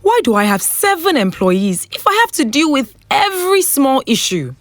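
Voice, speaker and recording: An imperious woman boss, swinging pitch. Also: sing-song, normal speed, soft background music. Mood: irritated, threatening. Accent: Nigerian. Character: demanding, short-tempered woman boss, desperate in love. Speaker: actor in an audio drama. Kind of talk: modern audio drama acting.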